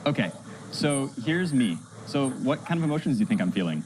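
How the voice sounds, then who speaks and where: A man's voice: medium pitch, sing-song, brisk, background noise. A man giving a casual live demonstration, upbeat and joking in manner. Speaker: demo presenter.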